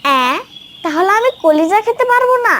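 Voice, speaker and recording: A woman's voice: very high voice, big pitch swings, a little fast, a bit bright, slight background noise, heavily acted. A woman voicing a proud, eager little boy in a cartoon. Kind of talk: cartoon voice acting.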